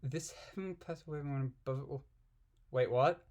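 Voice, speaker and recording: A man's voice: medium pitch, normal speed, darkish tone. A man reading aloud and commenting casually in an irreverent, giggly, puzzled manner. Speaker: amateur reader and commentator.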